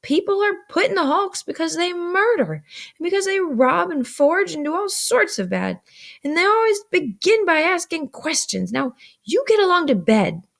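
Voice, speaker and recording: Woman putting on a snappish, high-pitched scolding older sister. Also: big pitch swings, normal speed, creaky, full character voice. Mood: angry, lecturing. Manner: snappish, emphatic, exasperated.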